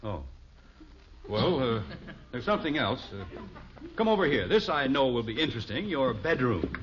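A man's voice: mid-range voice, sing-song, moderate pace, close to an everyday voice. A muffled, noisy old radio recording carries a man acting as a middle-aged American sitcom father. He is well-meaning but flustered, hesitating and then reaching for wordy, mock-formal phrases.

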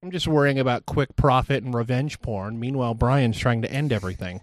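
A man's voice: mid-range voice, brisk, dry recording. A man co-hosting a podcast with casual, wisecracking, sometimes profane banter. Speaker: podcast co-host.

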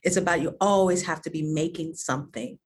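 A woman's voice: low voice, a little fast, husky. A woman from Connecticut, a designer being interviewed, speaking earnestly and often repeating words as she gathers her thoughts. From United States (Connecticut). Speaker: designer, guest. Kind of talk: reflective interview conversation.

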